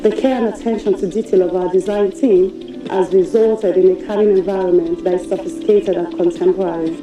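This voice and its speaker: Woman, polished promo speech, mid pitch, music behind. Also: roomy sound, recorded from a distance, rough recording.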